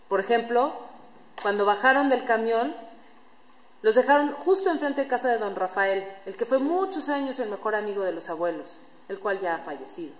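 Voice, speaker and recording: A woman reading scripted storytelling narration for a radio play. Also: a little high. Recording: echoey hall with room noise.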